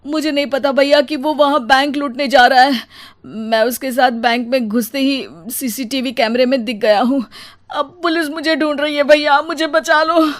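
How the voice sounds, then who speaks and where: An anxious, innocent younger brother pleads in a raised, high voice performed by a woman. The delivery is panicky, in cartoon story style. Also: fairly even intonation, normal speed, few pauses, natural voice. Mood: panicked.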